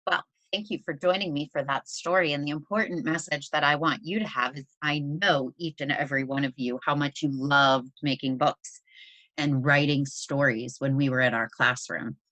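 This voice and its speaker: A woman reading a children's story aloud as a teacher would, in an expressive, deliberate manner for young listeners. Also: low-pitched, no room sound, low-quality recording.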